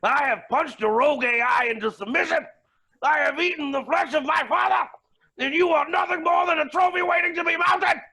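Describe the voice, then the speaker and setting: A man playing a flamboyant, menacing and unhinged billionaire villain in a staged play reading, with a raspy, creaky voice and grandiose delivery. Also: extremely high pitch, a little fast, heavily acted, poor audio. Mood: manic triumph. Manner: theatrical, grandiose, swinging from mutters to shouts.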